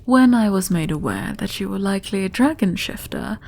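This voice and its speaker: A woman plays a fantasy queen with a soft, mellow voice. She is gracious yet shrewd, speaking in measured, formal sentences with regal composure, as in an audio drama. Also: mid-range voice, lively intonation, creaky. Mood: regal, composed. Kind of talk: fantasy audio roleplay acting.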